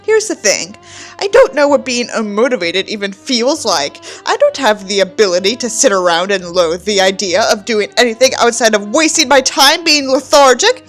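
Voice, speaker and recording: Self-important young male diarist voiced by a woman, bitter and melodramatic, highish and very animated. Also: moderate pace, faint music behind. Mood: pompous. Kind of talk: mocking dramatic reading of a novel.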